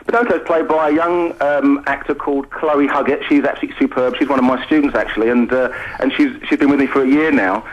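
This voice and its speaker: A man's voice: medium pitch, fairly even intonation, fast, few pauses, slight background noise. A British man who directs and teaches drama, chatting effusively as a guest in a radio interview. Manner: effusive, talkative. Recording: dull lo-fi radio sound.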